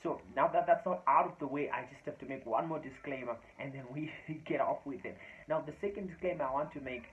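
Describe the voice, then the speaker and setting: A man's voice: on the high side, a little fast. Young Shona-native male host, earnest motivational talker, lo-fi recording. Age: 20s. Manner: earnest, repeats phrases for emphasis. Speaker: YouTube channel host. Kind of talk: motivational talk to camera. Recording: dull lo-fi sound with faint noise.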